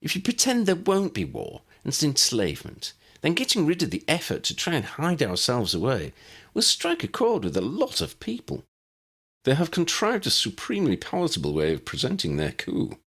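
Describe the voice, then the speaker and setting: A man's voice: fairly high pitch, huge pitch swings, normal speed, a bit bright, slightly rough, pitched above the performer's own voice, full character voice. A man voicing an earnest, clever young woman, a witch, with an articulate, precise manner in an audiobook story. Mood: earnest, analytical.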